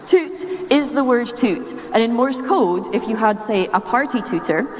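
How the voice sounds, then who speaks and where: A woman's voice: a little high, animated, unhurried. Scottish woman speaking in an echoey hall. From Scotland. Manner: playful, chuckles at own wordplay. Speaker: speaker giving a talk. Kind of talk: live humorous nerdy talk to an audience. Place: large indoor room or hall, live talk. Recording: echoey hall with long reverb.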